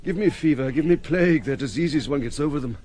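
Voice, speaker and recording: An actor playing a desperate, indignant and naively earnest sea captain in an audio drama, agitated and halting. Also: male voice, fairly high pitch, a little mellow, lightly acted. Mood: desperate indignation.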